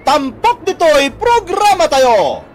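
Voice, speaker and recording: Very high-pitched woman radio announcer. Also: wildly swooping pitch, slow, noisy background. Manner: declamatory, emphatic. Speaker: announcer of a radio drama programme. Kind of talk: scripted dramatic radio introduction.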